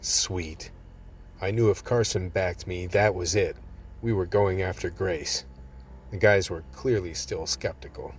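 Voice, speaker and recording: An actor playing a wry vice team member, narrating a radio play colloquially. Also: male voice, low-pitched, unhurried, slight background noise. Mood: wry recounting. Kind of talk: scripted in-character narration.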